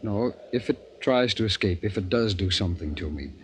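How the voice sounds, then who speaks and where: A man's voice: on the low side, animated, moderate pace, slight background noise, close to an everyday voice. An actor playing a frightened, remorseful male scientist in a 1950s radio drama. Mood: tense, resolute. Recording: dull 1950s radio recording with music.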